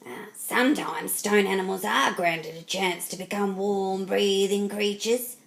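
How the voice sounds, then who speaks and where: Storybook gargoyle, knowing and solemn, measured advisor's delivery, read by a woman. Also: mid-range voice, steady tone, gravelly, rough recording. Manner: measured, explanatory. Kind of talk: storybook character voice.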